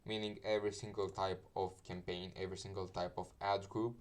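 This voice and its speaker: Male tutorial host, lower-pitched voice, informal and halting, talking through steps on the fly. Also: little pitch movement. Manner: hesitant, halting, loose phrasing with repeated "you know" asides. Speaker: marketing tutorial host and media buyer. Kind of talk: casual step-by-step screen tutorial.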